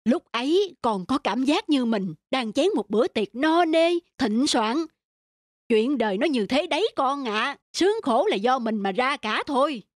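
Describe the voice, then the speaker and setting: A woman's voice: sits high, animated, unhurried, dry recording, studio quality. A woman voicing a stingy old male landowner in a folk-tale cartoon, lecturing and scolding, with music behind. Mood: preachy.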